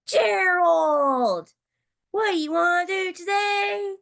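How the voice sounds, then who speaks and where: A young reader voicing a caring, eager pig in a children's picture book, with an animated, questioning delivery. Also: very high-pitched, takes it slowly, vocal fry, full character voice.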